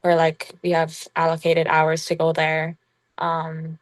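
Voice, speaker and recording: A young woman whose Irish accent has turned strongly American talks in a low, flat voice. She chats casually and haltingly, leans on fillers, and laughs easily. The sound is dry and close. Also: no echo. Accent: Irish with a strong American tinge. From Ireland. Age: young adult. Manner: relaxed, halting, full of "like" fillers, laughs often. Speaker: college basketball player guest. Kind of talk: casual interview chat.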